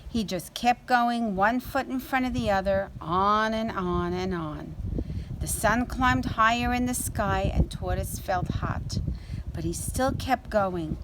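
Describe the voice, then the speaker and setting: Female reader for a children's tale, slow and expressive, mid-range voice. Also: medium pitch, background noise. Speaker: storyteller. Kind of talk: children's story read aloud.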